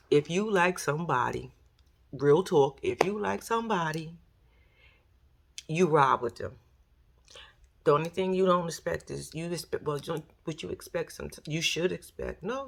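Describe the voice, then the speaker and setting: A woman with a raspy voice and a New York accent, chatting casually on her vlog in a slangy, animated way. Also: low voice. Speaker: YouTube commentary host.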